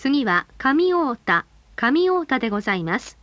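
A woman reading scripted bus stop announcements in a polite, formal and measured way. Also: high voice, big pitch swings, fairly bright tone, no room sound.

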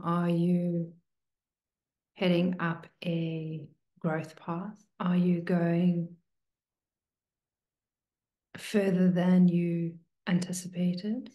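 Slow, hushed woman guiding meditation, roomy sound. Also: on the low side. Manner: hushed, soothing, with long pauses between short phrases. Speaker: meditation teacher. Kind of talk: guided meditation, slow and spoken to listeners.

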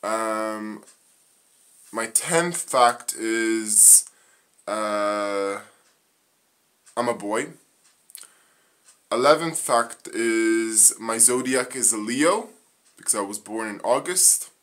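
A young man from Northern Ireland in a living room, reading off facts about himself into a phone camera, halting with many ums and uhs. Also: on the low side, fairly bright tone. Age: young adult. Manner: halting, frequent ums and uhs, slow and plain. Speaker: vlogger. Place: small living room indoors. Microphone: phone camera at arm's length.

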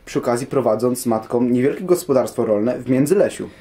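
Young male guest, soft dark timbre, rough-edged, explaining from a script. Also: mid-range voice, fairly quick. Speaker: programme guest. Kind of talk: scripted informative talk.

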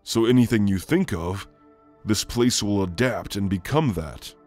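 A low, very slow male voice from a man acting out a role plays a gentle demon of shadow. He speaks softly and soothingly, with hesitant pauses, straight to the listener in an intimate ASMR roleplay. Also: animated, soft background music. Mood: calm, explaining. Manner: soft, halting, soothing.

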